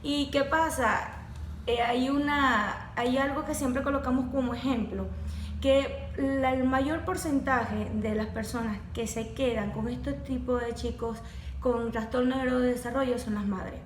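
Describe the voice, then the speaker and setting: A woman's voice: sits high, steady tone, a little slow. A young woman, a course facilitator explaining neurodevelopmental conditions in a professional, clinical way. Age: young adult. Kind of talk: podcast conversation. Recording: echoey room with faint background noise.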